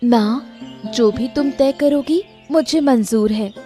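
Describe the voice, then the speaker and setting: A woman's voice: on the high side, slow, warm tone, soft background music, voice pushed up. A woman voicing a young elder sister in a cartoon story, an insistent daughter. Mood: agreeable. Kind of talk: dramatized Hindi cartoon story.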